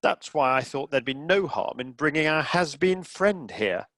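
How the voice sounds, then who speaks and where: A man's voice: a little high, expressive, normal speed, fairly bright tone. A man playing a camp, wounded and snobbish classical pianist in a comedy radio play. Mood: sardonic.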